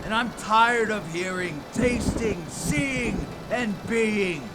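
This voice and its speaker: An actor playing a deranged, doom-obsessed gentleman in a gothic audio drama, declaiming ominously and theatrically. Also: high-pitched, expressive, slow, very noisy. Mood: manic dread. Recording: loud dramatic music underneath.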